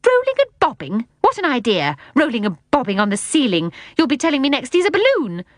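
Woman reading as prim storybook nanny, haughty, sharp, indignant, high-pitched swoops, dry close recording. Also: very animated, slow pace, dry recording, exaggerated voice. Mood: offended, indignant.

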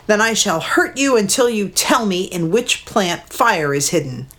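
Woman doing a stern male hero, forceful and commanding, storybook legend. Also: mid-range voice, sing-song, unhurried, pitched above the performer's own voice. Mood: angry. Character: angry determined male hero demigod.

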